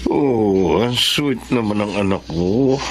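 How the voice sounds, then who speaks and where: A man's voice: on the low side, takes it slowly, noisy background. A man with a Filipino accent acting a tender, affectionate widowed father in a radio drama. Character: Richard, tender widowed father of a young daughter. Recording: studio radio drama with background music.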